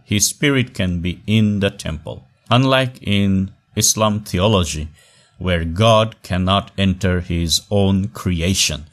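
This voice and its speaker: Deep-voiced male teacher giving a measured lecture. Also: a bit bright. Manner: measured, emphatic pauses between phrases. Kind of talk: recorded online class lecture. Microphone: voice-over on slides.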